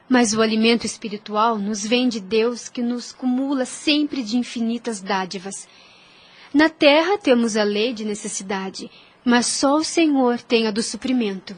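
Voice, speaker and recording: A woman's voice: a little high, normal speed, lightly acted. An actress playing a sweet, dutiful young woman, speaking earnestly and reverently in an audio novel. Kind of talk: religious audio novel acting.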